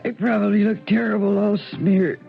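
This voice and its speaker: A woman's voice: mid-range voice, steady tone, moderate pace, gravelly, close to an everyday voice. A woman playing a distraught, weary mother and actress, rambling and self-mocking in a theatrical 1970s radio drama style. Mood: fretful, tearful. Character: distraught weary actress mother recording her suicide tape. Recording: muffled old radio broadcast with music.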